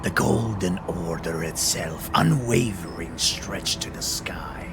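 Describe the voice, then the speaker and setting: An actor playing a solemn, devout male worshipper delivering a slow prayer in video game dialogue. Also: low-pitched. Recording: game music underneath. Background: music.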